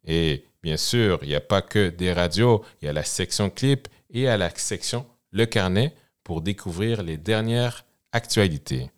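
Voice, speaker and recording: A man, speaking as a radio host. His voice sits fairly low, and he takes his time, unhurried and measured. His delivery is that of a steady announcer presenting the next track.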